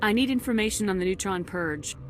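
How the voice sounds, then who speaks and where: Woman as a brisk, no-nonsense commander. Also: medium pitch, natural voice. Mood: businesslike. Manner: direct, curt questioning. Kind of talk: video game voice acting. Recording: prominent music behind the voice.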